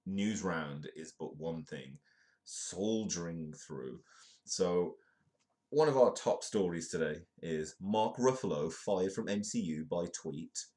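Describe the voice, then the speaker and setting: A man with a very low, deep voice and a British accent, hosting a comedic news stream. His nose is stuffed up and bunged from a cold, and he keeps a chatty, joking tone while reading stories aloud. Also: animated, takes it slowly, dark tone. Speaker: host. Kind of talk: comedic live news show.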